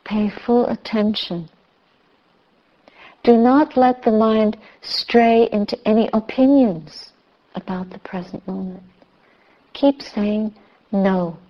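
A woman leads a guided meditation at a very unhurried pace. She speaks in short, soothing phrases with long pauses, at a medium pitch. The recording sounds a little dull. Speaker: meditation teacher. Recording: slightly dull, muffled recording.

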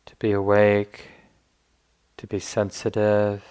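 Slow, even-toned man guiding meditation. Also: on the low side, smooth, rough recording. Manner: gentle, unhurried phrasing with long pauses. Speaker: meditation teacher. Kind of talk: guided meditation instruction.

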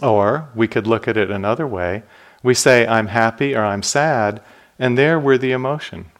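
A man teaching meditation in a dharma talk, calm and reflective, putting questions to his listeners. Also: mid-range voice, moderate pace. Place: retreat center hall.

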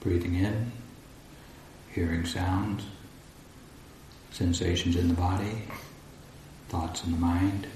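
A man guiding a meditation as a teacher, speaking calmly and deliberately in short phrases with long pauses. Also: deep voice. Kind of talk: guided meditation instruction. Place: large echoey indoor room at a retreat. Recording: roomy echo, faint background noise.

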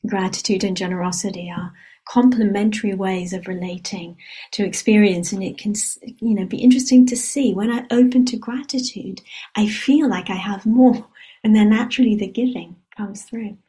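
A woman whose mother tongue is Hebrew guides a reflection after meditation in a retreat hall. Her voice is mellow and breathy. She speaks softly and without hurry, and often ends a thought with a quiet "yeah?". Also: medium pitch. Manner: soft, unhurried, often adds a questioning "yeah?". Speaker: meditation teacher.

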